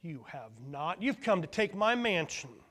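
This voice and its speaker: A man voicing a stubborn young man who shouts defensively from his hut in a spoken story. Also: mid-range voice, moderate pace, slightly rough, natural voice. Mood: angry shouting.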